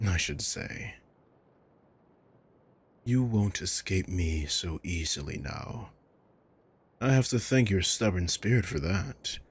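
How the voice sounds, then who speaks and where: A man playing a coolly mocking, possessive villain in an ASMR roleplay, with a husky, breathy voice and a deliberate, menacing drawl. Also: low-pitched, a little mellow, exaggerated voice. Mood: gloating. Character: sadistic male villain, coolly mocking, possessive, taunting his captive. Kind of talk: ASMR roleplay audio drama.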